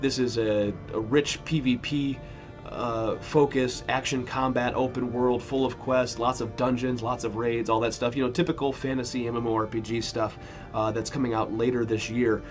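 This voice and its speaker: A man in his thirties hosting a weekly gaming news show in a relaxed, rambling way, correcting himself as he goes while still sniffly from a cold. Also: medium pitch, normal speed, soft background music. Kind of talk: casual news roundup.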